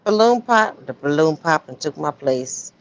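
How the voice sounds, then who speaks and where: A woman's voice: sits low, animated, measured pace. A woman gossiping casually on a livestream in a sassy, colloquial way, with plenty of rhetorical questions. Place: remote online livestream. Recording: dull lo-fi livestream audio.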